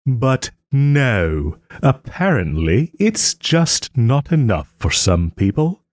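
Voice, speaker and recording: Man playing a wry, haughty nobleman, theatrically animated. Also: mid-range voice, dry recording, studio quality. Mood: sardonic, aggrieved. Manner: sardonic, haughty, theatrical phrasing with pointed pauses. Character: wry, wounded young nobleman lord, sarcastic and proud. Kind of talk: scripted roleplay audio drama monologue.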